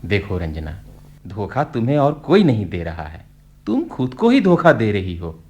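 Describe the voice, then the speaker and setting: A man's voice: fairly low pitch, wildly swooping pitch, measured pace, darkish tone, husky, full character voice. A man acting a patient, reassuring family doctor in a radio play, soothing and slipping in English phrases. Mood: gentle persuasion.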